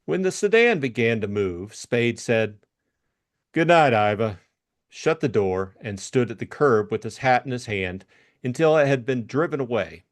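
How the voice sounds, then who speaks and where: Male narrator with very lively intonation. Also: medium pitch, normal speed. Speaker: audiobook narrator and co-host. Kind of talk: scripted story narration and book discussion.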